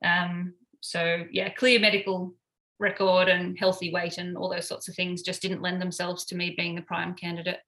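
Bright-voiced woman, halting. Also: lowish voice, monotone, poor audio. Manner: hesitant, many fillers. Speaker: paramedic interviewee. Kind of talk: casual recounting in an interview.

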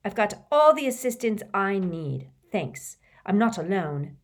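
A woman's voice: mid-range voice, big pitch swings, a little slow, pitched above the performer's own voice. A woman voicing a defiant, resentful teenage boy wizard who talks back with a sneer in an audiobook.

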